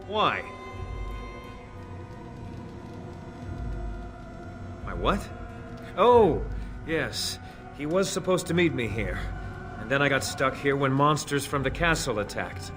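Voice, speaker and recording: A man's voice: medium pitch, lively intonation, a little slow, lightly acted. An actor playing a frightened man caught spying, stammering and pleading. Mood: defensive. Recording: game audio over loud music. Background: game music.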